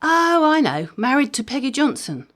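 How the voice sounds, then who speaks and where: A woman's voice: sits high, very animated, normal speed, fairly bright tone. A woman playing a chatty, wry mother with a mischievous streak in an audio drama comedy, speaking conversationally with dry timing.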